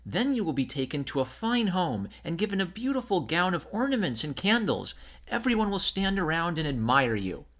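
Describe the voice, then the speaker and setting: A man's voice: sits high, big pitch swings, moderate pace, a bit bright, pitched above the performer's own voice, exaggerated voice. A man voicing a kind little chickadee in a storybook, encouraging and cheerful.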